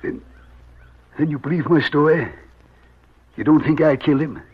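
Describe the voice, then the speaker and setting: An actor playing a weary, middle-aged American fugitive cowboy with a gruff, husky voice in a radio western. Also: male voice, fairly high pitch, moderate pace. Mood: hopeful. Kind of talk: old-time radio western acting. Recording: dull old radio recording.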